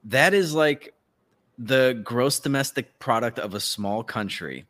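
A man's voice: mid-range voice, expressive, a little slow, a bit bright. A man co-hosting a podcast with casual, sardonic political commentary and a profane, ranting manner. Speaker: podcast co-host.

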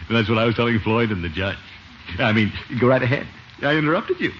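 Man acting pompous blustering middle-aged American, vain and flustered, animated pitch swings, muffled vintage radio comedy recording. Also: fast talker, slight background noise, natural voice. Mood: anxious, self-important.

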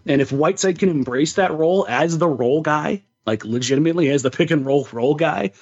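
A man's voice: fairly high pitch, quick pace, highs cut off. A man co-hosting a sports podcast, giving casual, opinionated basketball analysis and repeating words emphatically as he reasons.

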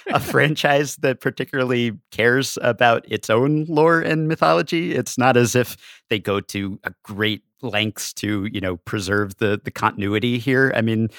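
A man talks as a podcast guest, giving casual commentary. His voice is on the low side, and the sound is dry and close. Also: a bit bright, no echo.

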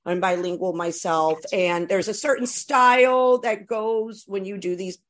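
A woman telling stories in a casual chat, frank and profane, animated, with many restarts and asides. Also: mid-range voice, unhurried. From California.

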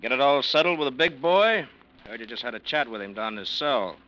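An actor playing a tough, wry American plainclothes detective who talks in pointed slang in an old radio crime drama. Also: male voice, mid-range voice, expressive, a little fast, bright, forceful tone, lo-fi sound. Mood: needling, warning. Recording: faintly noisy old radio recording.

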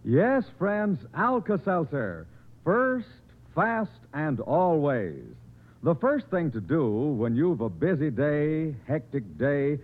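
A male announcer delivers a vintage radio commercial with a polished, emphatic sell. His slightly high voice swings widely in pitch. The 1950s recording sounds thin and muffled, like a telephone. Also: sing-song, slow. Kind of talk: 1950s radio advertising read.

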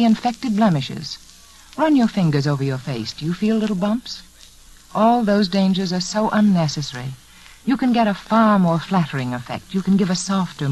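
Measured vintage radio ad woman. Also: on the low side, darkish tone, poor audio. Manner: measured, persuasive. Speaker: commercial announcer. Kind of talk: 1940s radio advertisement read.

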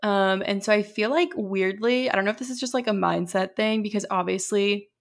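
A young woman co-hosting a podcast in casual chat, talking loosely with many fillers and a slightly hoarse voice. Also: medium pitch, fairly quick. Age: young adult. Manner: chatty, lots of fillers. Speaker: podcast co-host.

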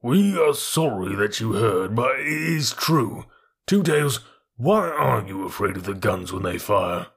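A man voicing a stolid, fatalistic bullock in a storybook reading, slow, solemn and chanting, with a rough voice. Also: fairly low pitch, animated, exaggerated voice. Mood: frank, solemn. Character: bullocks, stolid, fatalistic yoke of gun oxen speaking slowly.

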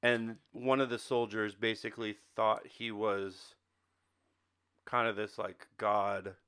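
A man's voice: lowish voice, little pitch movement, slow, fairly bright tone, smooth. A man co-hosting a podcast, chatting casually about films and games. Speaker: podcast co-host. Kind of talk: casual film and game discussion.

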